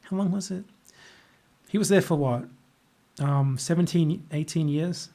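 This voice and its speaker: Casting: male livestreamer, Australian accent, voice a little on the high side, casual, opinionated, dryly sardonic, unscripted. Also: a little slow, mellow. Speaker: livestreamer answering chat questions. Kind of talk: casual off-the-cuff political chat.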